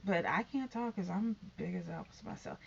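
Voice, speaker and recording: A woman's voice: lowish voice, animated, normal speed, creaky, muffled recording, slight background noise. A woman in her fifties vlogging in a rambling, chatty way, with sighs and whispered asides. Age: 50s. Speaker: YouTube vlogger. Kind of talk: rambling casual vlog.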